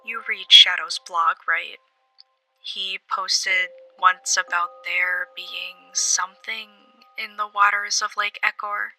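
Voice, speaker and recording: Bright-voiced, hesitant young college woman. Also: medium pitch, level tone, slow, faint music behind, heavily acted, low-quality recording. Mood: hesitant, skeptical. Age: young adult. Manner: hesitant, confiding storytelling. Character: skeptical young female college student recounting a scare. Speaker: college student caller. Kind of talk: audio drama testimony.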